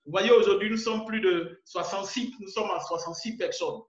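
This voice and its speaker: A man's voice: high voice, steady tone, a little fast. A man with an Ivorian French accent hosting an online meeting, urging the members insistently and often repeating words. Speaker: meeting host. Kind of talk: exhorting a group in an online meeting. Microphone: video call. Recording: muffled echoey call audio.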